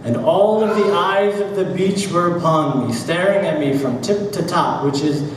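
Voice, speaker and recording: A man giving a dramatic, deliberate literary reading of first-person prose, with drawn-out pauses and rhythmic repetition. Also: high-pitched. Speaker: author reading own work aloud. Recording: very echoey hall, distant mic, background noise.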